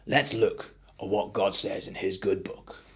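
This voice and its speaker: Man voicing old farmer, gentle, modest, unhurried, storybook character. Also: deep voice, level tone, voice pushed down. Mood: soft, reverent.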